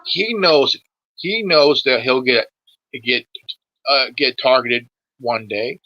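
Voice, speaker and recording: A man's voice: mid-range voice, slow pace, sharp tone, dry recording, highs cut off. A man in his forties in a casual political discussion, sweary and emphatic, often stumbling and repeating his words. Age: 40s.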